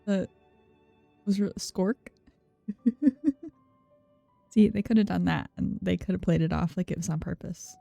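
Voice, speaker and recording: Slow-talking, creaky woman streamer. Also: fairly low pitch, dark tone, dry recording. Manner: giggly, muttering reactions to the game. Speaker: game streamer. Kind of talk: casual live playthrough commentary. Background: game music.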